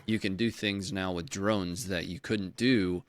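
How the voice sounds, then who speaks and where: A man with a fairly low voice hosts an interview, working through his thoughts in halting, restarting phrases. The recording is dry and close, with no room sound. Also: steady tone, dry recording. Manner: halting, many "you know" and restarts. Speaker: interview host. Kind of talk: casual interview talk.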